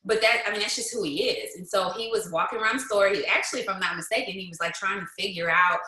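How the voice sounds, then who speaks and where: A woman's voice: medium pitch, fast talker, bright, forceful tone, echoey room, low-quality recording. A woman in her thirties telling stories as a guest, bold and emphatic, laughing often. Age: 30s. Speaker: guest, business leader. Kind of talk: casual storytelling, motivational.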